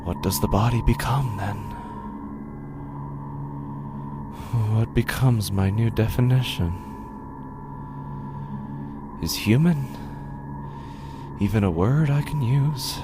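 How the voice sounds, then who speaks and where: Male actor as anguished undead man, horror audio drama, very slow halting delivery, brooding, whispered drops, music behind. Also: mid-range voice, natural voice. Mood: brooding, bewildered. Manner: halting, brooding, repeating himself, dropping into whispers. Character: anguished male living corpse, rotting, tired and hungry.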